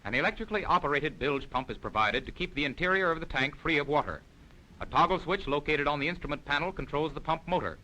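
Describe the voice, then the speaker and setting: A man's voice: a little high, expressive, fast, sharp tone, a little hiss or hum, rough recording. A man reading scripted narration for a 1940s military instructional film in a formal, precise, explanatory manner.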